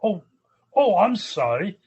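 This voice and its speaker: Guy acting a posh polite gentleman, takes his time, lilting delivery, radio comedy style. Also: high voice, big pitch swings, slightly rough, heavily acted. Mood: courteous. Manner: courteous, formal, unhurried. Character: polite, genteel upper-class male dinner guest.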